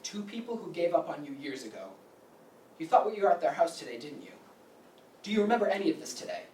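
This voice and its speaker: Blunt, confrontational clinician played by an actor, rapid delivery, firm lecturing; stage drama in an echoey room. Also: medium pitch, very animated, darkish tone, natural voice. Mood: confronting. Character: blunt, confrontational mental hospital staffer. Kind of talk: staged play reading. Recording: echoey room, distant mic, faint noise.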